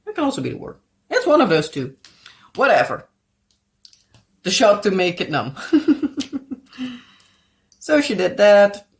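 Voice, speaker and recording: A woman's voice: mid-range voice, sing-song, unhurried, pauses often. A Dutch woman with a Dutch accent chatting casually at home as a craft vlogger, rambling and searching for English words with frequent little laughs. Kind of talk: casual chat while crafting.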